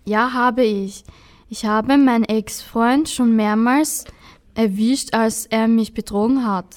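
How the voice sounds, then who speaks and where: A woman's voice: on the high side, fairly even intonation, slow pace. A young woman as a guest on a school radio interview, giving short plain answers.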